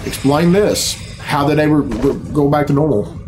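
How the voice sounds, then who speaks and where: A man in his thirties speaks in a somewhat high voice over clearly audible game music. He gives casual, off-the-cuff reaction commentary, bluntly sarcastic and full of profane grumbling. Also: normal speed. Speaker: reaction video host. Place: small bedroom at home, indoors. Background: game cutscene music.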